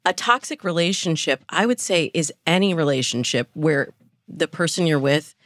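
A woman giving articulate, measured explanations as a dating coach in a podcast interview. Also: deep voice, no echo, studio quality.

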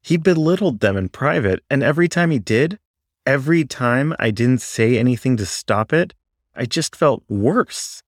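A man's voice: mid-range voice, expressive, normal speed, no room sound, clean studio sound. A man narrating a first-person story in an earnest, confessional manner.

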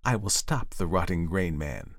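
A man's voice: sits low, lively intonation, normal speed, no room sound, clean studio sound. A man voicing a loyal, mischievous undead demon nephew in a folk tale, speaking simply and earnestly. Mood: resolute.

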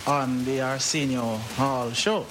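Stammering Jamaican interviewer, hissy tape. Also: male voice, medium pitch, steady tone, slow. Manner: stammering, repeats words while pressing questions. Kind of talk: casual interview. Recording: hissy recording.

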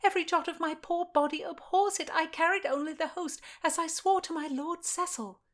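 A frightened young maid of honour begs for mercy in a high, breathless half-whisper, as a woman puts her on. Her pleading runs fast and strained. It is a period audiobook reading. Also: fairly even intonation.

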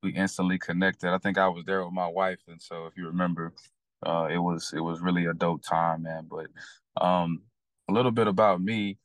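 Young Texan man, deep voice, dry close recording, easygoing podcast guest. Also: normal speed, dry recording. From Texas. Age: young adult. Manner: relaxed, many fillers and restarts. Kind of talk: casual storytelling.

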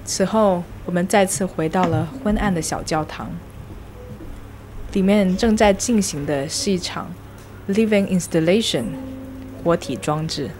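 A woman narrating a scripted first-person arts audio log in a reflective, measured, literary manner. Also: fairly low pitch, a little mellow, slight background noise, poor audio. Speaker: host. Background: music.

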